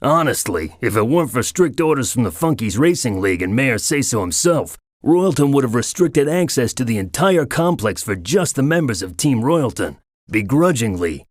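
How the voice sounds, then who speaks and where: An actor playing a wry creature guide in a video game, with a showy announcer delivery and sly asides. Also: male voice, mid-range voice, no room sound, studio quality. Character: wry Funkey creature guide to a racing complex. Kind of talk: video game character voice acting.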